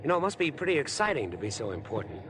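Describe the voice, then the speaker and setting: An actor playing an overeager, ingratiating stranger with an obsessive streak, chatty and pushy in 1950s radio drama style. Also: male voice, sing-song, racing, close to an everyday voice. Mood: chatty, prying. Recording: 1950s radio broadcast, train rumble behind.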